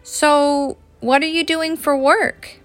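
A woman's voice: high-pitched, little pitch movement, slow pace, soft background music, pitched above the performer's own voice. A woman voicing an easygoing, wry young man in a story reading. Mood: curious. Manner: wry, casual. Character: easygoing young man, apologetic old childhood friend.

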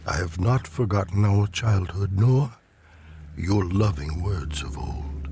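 Deep, slow man reciting poetry dramatically. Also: creaky, background noise. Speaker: poetry reader. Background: ambient sound design.

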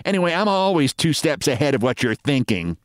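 Man voicing a nagging, sarcastic wife, animated and scolding. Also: fairly high pitch, fairly quick, no echo, studio quality. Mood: accusing. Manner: scolding, exasperated. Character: nagging, sarcastic, bossy middle-aged wife.

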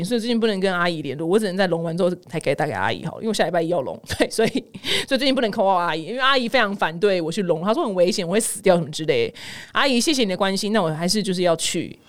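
Taiwanese mandarin woman podcaster, talks fast, pitch bounces all over, candid n chatty. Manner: candid, self-mocking, expressive. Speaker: podcast host of a humorous news show. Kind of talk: casual chatty storytelling to listeners.

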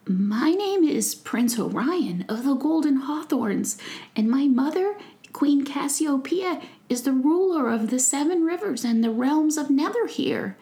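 A woman's voice: sits high, animated, unhurried, warm tone, pitched above the performer's own voice. A woman voicing an earnest young fairy prince who speaks courteously and formally, in a storybook reading.

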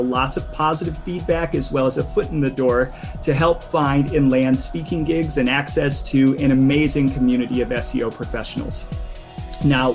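A man speaks as a podcast host in a conversational interview style. His voice sits at a middle pitch and his delivery is halting, with pauses as he finds his wording. Music is audible behind him.

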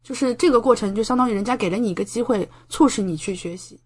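A young woman from China chatting casually as a podcast guest, expressive and enthusiastic in the way she talks. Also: on the high side, fast talker, slightly rough. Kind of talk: casual chat.

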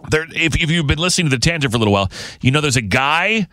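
A male radio host chats casually and without a script, often rambling and interrupting himself. His voice is bright with animated pitch, recorded close and dry. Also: very fast, dry recording. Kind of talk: casual unscripted podcast chat.

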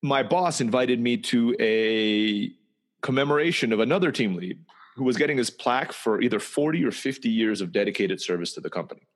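A man who grew up in Turkey, telling his life story in a relaxed, conversational way, sometimes restarting and repeating words. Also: mid-range voice, brisk. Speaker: interview guest. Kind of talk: storytelling personal history.

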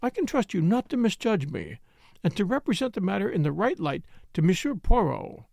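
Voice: medium pitch, big pitch swings, fairly quick, vocal fry, a little mellow, no room sound, clean studio sound, voice pushed up. A reader voicing a fussy, tearful lady who talks in a fluttery, rambling, self-pitying way. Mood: wheedling. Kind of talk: audiobook character reading.

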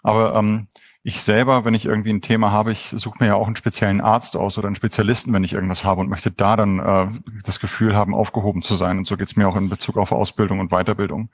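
A man's voice: lowish voice, flat delivery, quick pace. A man on a panel, a team coach, who speaks thoughtfully and builds his points step by step. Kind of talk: panel discussion.

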